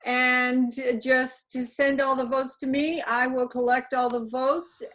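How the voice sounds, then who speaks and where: A woman with a high, bright-sounding pitch leads a club meeting over a video call. She keeps things moving in an upbeat, courteous way. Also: flat delivery, slow pace. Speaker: meeting chair.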